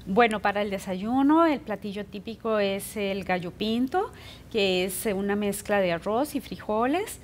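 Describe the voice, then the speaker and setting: A woman's voice: mid-range voice, animated, normal speed, a little hiss or hum. A middle-aged woman from Costa Rica answering interview questions in a careful, hesitant way with many fillers. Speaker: guest. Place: indoor TV studio set.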